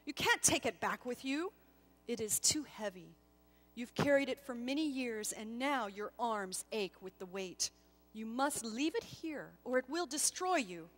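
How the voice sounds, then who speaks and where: Solemn angel gatekeeper played by a woman, firm, measured, authoritative yet not unkind, dramatic storytelling. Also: a little high, animated, big-room sound. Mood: solemn, stern.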